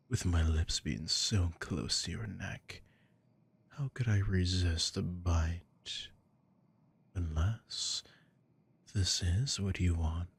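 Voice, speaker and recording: A man voicing a predatory, dominant vampire lord in an ASMR audio roleplay, taunting slowly and often whispering close to the microphone. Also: exaggerated voice. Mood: hungry. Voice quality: deep, gravelly, breathy.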